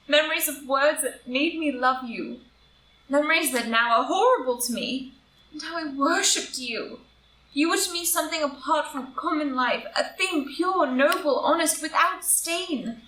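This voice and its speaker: A woman's voice: high-pitched, moderate pace, sharp tone. A woman playing a wronged, idealistic society wife in an audio drama, declaiming with bitter heartbreak. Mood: anguished reproach. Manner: declamatory, impassioned. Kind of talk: stage-style audio drama acting. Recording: roomy, distant live reading with noise.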